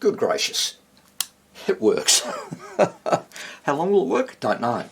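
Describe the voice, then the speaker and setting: A man's voice: mid-range voice, wildly swooping pitch, takes it slowly, gravelly, fairly bright tone. A man giving casual, unscripted commentary at a workbench, rambling and thinking aloud as he works on a repair. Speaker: hobbyist radio restorer presenting own repair. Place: indoor workbench.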